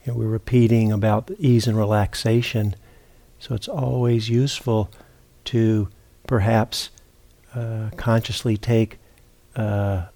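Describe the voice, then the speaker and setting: A man with a fairly low, very soft-edged and mellow voice leads a guided meditation. He speaks as a teacher, unhurried and halting, leaving long pauses and often repeating a word before going on. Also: fairly even intonation. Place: meditation retreat center.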